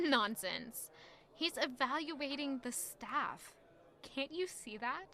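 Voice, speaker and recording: Bright, bitter young castle woman. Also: high voice, lively intonation, takes it slowly, full character voice. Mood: defensive, curt. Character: stubborn, bitter young castle servant woman, guarded and irritated. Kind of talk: audio drama acting with first-person narration.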